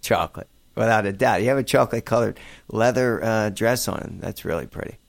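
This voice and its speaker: A man hosting an interview show, chatting casually and joking with quick asides. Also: fairly low pitch, vocal fry, a little hiss or hum.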